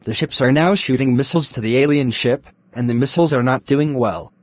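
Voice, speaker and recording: A man delivers scripted emergency alert announcements in the style of a mock public broadcast. His voice sits at a fairly ordinary male pitch with a slight lift, and the sound is dry, with no room around it. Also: a little fast, dry recording.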